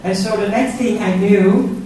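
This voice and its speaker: A man's voice: sits high, fairly even intonation, unhurried, a crowd in the background. A middle-aged man whose first language is Vietnamese gives an earnest conference talk and keeps asking the audience questions with a tag of "yeah?". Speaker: conference speaker. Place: university auditorium. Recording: roomy auditorium sound from far off.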